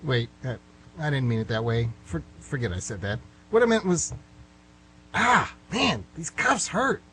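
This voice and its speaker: A man's voice: on the high side, sing-song, measured pace, fairly bright tone, poor audio. A man playing a frustrated middle-aged suspect in a radio play, pleading and backtracking as he rambles. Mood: pleading, flustered. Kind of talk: amateur radio play acting. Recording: hum and clear background noise.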